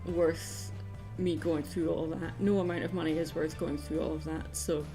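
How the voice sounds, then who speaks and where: A woman's voice: deep voice, little pitch movement, normal speed, warm tone. Woman chatting casually on livestream, music behind. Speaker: livestream host, commission artist. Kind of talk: casual livestream chat while drawing.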